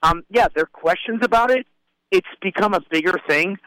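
A man who grew up in Puerto Rico and the Bronx speaks as a journalist guest on a talk panel. His voice sits a bit high, and he makes his case emphatically, peppering it with "right?" in a dry, close studio sound. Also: fairly quick, bright, forceful tone, clear, resonant tone, no room sound, poor audio. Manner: emphatic, argumentative, uses "like" and "right?". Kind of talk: panel discussion.

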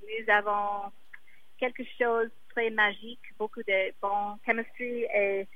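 A woman speaking slowly and haltingly in her second language, her first tongue being English. She pauses often to search for words, and the sound is dull and lo-fi, as if over a phone line. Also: medium pitch, little pitch movement. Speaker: singer.

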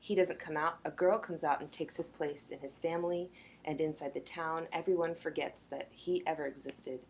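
A woman author answering interview questions in a thoughtful way, often opening with a soft "uh, well". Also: deep voice, fairly even intonation, normal speed, slight background noise.

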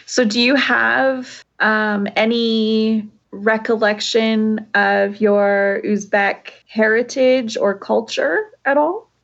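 A woman's voice: fairly high pitch, steady tone, slow pace, creaky, hardly any pauses, fairly bright tone, muffled recording. A woman hosting a podcast from home, friendly and chatty with many fillers. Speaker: podcast host. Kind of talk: casual remote interview. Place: her home. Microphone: remote call from home.

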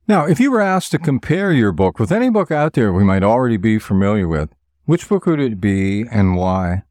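A man's voice: fairly low pitch, sing-song, normal speed, a little mellow, dry recording. A man hosting an author interview show, reading prepared introductions and questions in a polished presenter's manner. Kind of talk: scripted radio-style interviewing.

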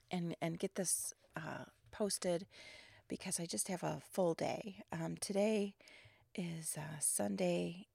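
A woman in her grandmother years hosts her podcast alone at dawn, her voice heavily gravelly and froggy as if not yet awake. She sits on the lower side of pitch and rambles casually, filler words between thoughts, some phrases fading into a breathy near-whisper. The sound is dry and close, with no room around it. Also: a little mellow, no room sound. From Chicago suburbs. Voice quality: froggy morning voice. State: not fully awake, early morning. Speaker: podcast host. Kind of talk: casual solo podcast monologue.

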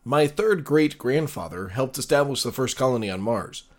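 Guy acting young male pilot applicant, formal and respectful, medium pitch, sci-fi radio play. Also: lively intonation, normal speed, close to an everyday voice. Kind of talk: audio drama acting.

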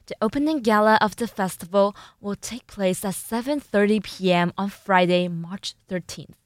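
A young woman reads student news from a script with a steady, announcing delivery. Her voice sits at a middle pitch and sounds close and dry, with no room behind it. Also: dry recording. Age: young adult. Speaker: news anchor.

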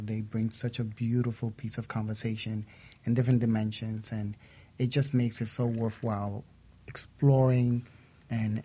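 A man's voice: mid-range voice, dark tone. A man co-hosting a radio talk show, speaking in a casual conversational style.

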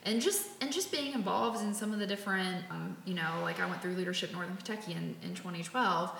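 Bright-voiced chatty woman in her thirties. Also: on the low side, fast talker, vocal fry, slight background noise. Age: 30s. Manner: chatty, lots of fillers and restarts. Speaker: interviewee, community volunteer. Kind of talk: casual conversational interview. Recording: roomy echo.

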